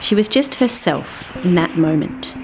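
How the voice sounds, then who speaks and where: A woman's voice: on the low side, animated, measured pace, gravelly, background noise. A woman narrating a scripted children's story as its storyteller. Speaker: author and storyteller.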